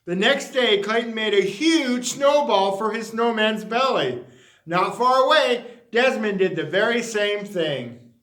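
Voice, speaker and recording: Man, high-pitched voice, animated children's read-aloud, emphatic phrasing, echoey recording. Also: measured pace. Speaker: storyteller reading a picture book aloud. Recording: roomy, echoey sound.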